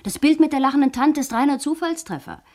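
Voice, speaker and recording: An actress playing a forthright young woman photographer giving a statement in a 1960s radio crime play, husky and matter-of-fact. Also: high voice, fast talker, mellow, lightly acted. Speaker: radio drama actor.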